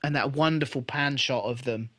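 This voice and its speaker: A man's voice: medium pitch, normal speed, a bit bright. A man co-hosting a podcast in casual conversation, talking with enthusiasm and emphasis. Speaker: podcast co-host. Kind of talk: casual chat about a TV show.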